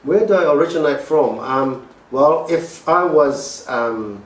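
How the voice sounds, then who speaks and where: A man's voice: on the high side, lively intonation. Older man from Fiji, rotary organizer, casual chat, roomy echoey room, distant mic. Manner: unhurried, hesitant with fillers. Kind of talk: casual interview answers. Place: living room indoors. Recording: roomy echo, distant mic, faint noise.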